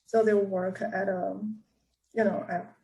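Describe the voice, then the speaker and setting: A woman's voice: mid-range voice, flat delivery, slow, vocal fry, dark tone. An American woman, a community college engineering instructor, talking over a video call with frequent ums and restarts. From United States. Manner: hesitant, many ums and restarts.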